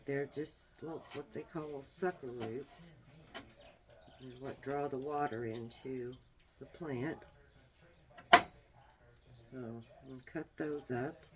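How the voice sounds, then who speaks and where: A woman with a small, soft voice, demonstrating a herbal remedy in a homey, unhurried way while she works. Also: extremely low pitch, a loud crowd. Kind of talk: casual how-to demonstration.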